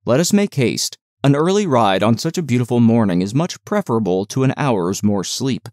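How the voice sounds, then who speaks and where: Man reading as a coaxing servant woman, lively intonation. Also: mid-range voice, normal speed, dry recording, clean studio sound, natural voice. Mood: reassuring. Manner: soothing, explanatory. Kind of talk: audiobook character dialogue.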